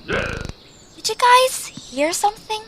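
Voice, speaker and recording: Casting: anxious kindhearted young cartoon-style heroine, extremely high voice, stammering and earnest, hissy home recording. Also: female voice, animated, slow, exaggerated voice. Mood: uneasy. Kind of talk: animated audio drama acting.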